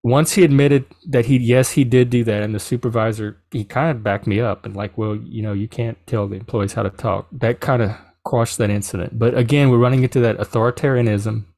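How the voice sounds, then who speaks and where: A middle-aged man from South Louisiana with a Cajun English accent, telling a personal story in a casual, animated way. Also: medium pitch, fairly quick, hardly any pauses, dry recording. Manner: animated, quoting others in reported dialogue. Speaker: podcast host.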